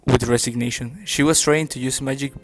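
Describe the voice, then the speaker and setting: A man's voice: medium pitch, fairly even intonation, a little fast, faint music behind. A young adult man reading scripted fairytale narration.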